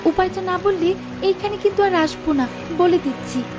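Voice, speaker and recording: Woman voicing a high-pitched earnest cartoon girl. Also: normal speed. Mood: playfully cross. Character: kind, stubborn young village girl befriending a flower. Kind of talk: Bengali cartoon fable voice acting. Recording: loud music under the voice. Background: music.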